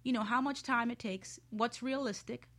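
A woman's voice: a little high, fairly even intonation, moderate pace, fairly bright tone. A woman speaking as a panel guest, instructing conversationally and explaining with examples. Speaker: panel guest, board training specialist.

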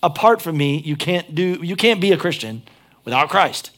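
A man's voice: on the high side, lively intonation, normal speed, a bit bright. A pastor preaching a sermon, chatting and joking, then driving his points home with emphatic peaks.

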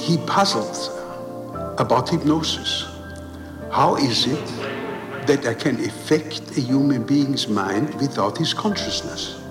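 A man speaks slowly at a middling pitch, posing questions in a dramatic explanatory style. His voice is very echoey, with music clearly behind.

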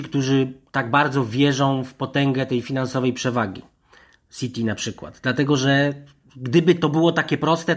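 A middle-aged man giving conversational football punditry as a panelist, haltingly, often breaking off and circling back to his point. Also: medium pitch.

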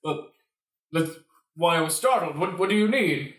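A man's voice: a little high, expressive, normal speed, a bit bright, far from the mic. A man acting a nervous young lawyer in an improvised radio play, muttering hesitantly to himself. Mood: wary. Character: nervous young lawyer walking home alone at night. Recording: echoey room, off the mic.

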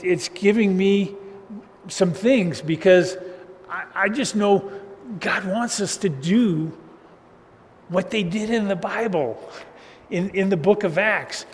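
Loud, high-voiced man preaching in a hall. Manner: loose, conversational preaching with chuckles and restarts. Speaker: pastor. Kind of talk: casual sermon. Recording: reverberant hall, faint ambient noise.

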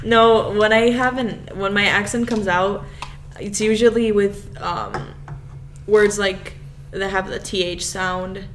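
A woman's voice: medium pitch, steady tone, slow, slight background noise. A young woman who is a student, chatting casually as a podcast guest. She is laid-back and teasing, and she laughs often. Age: young adult. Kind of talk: casual chat.

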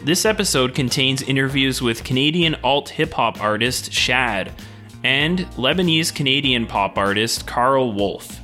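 Bright-voiced male podcast host over music. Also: medium pitch, animated, unhurried. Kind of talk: scripted intro.

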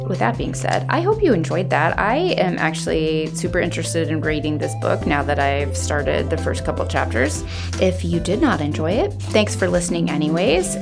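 A woman's voice: fairly low pitch, vocal fry. A woman host reading a children's novel aloud for a library podcast, then chatting casually at the end. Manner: friendly, chatty outro.

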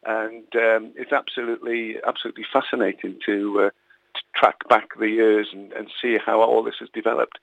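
A man's voice: fairly low pitch, flat delivery, normal speed, gravelly, no echo. A man from Wales, an author guest on a radio interview, talking hesitantly with lots of ums and restarts. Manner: hesitant, many fillers and restarts. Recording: tinny phone line.

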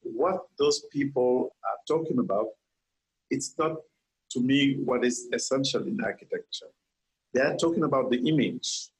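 A man's voice: mid-range voice, normal speed, rough recording. A man from Senegal, an architect, answering interview questions earnestly, with frequent restarts and repeated words.

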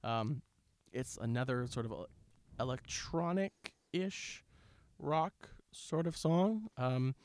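A man hosting a podcast in casual, jokey and playfully animated banter. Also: mid-range voice, takes it slowly, few pauses. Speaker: podcast host. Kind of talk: casual banter between co-hosts.

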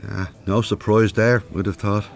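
An actor playing a blunt, sceptical older man with an Irish accent in a radio drama, full of deadpan sarcasm. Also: on the low side, moderate pace, natural voice. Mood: sceptical, sarcastic.

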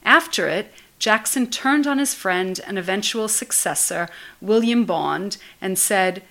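A woman's voice: mid-range voice, animated, measured pace, a bit bright. A woman reading a written obituary aloud as scripted narration.

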